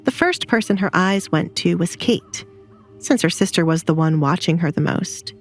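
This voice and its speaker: Low-toned woman narrating a story. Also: lively intonation, moderate pace, faint music behind.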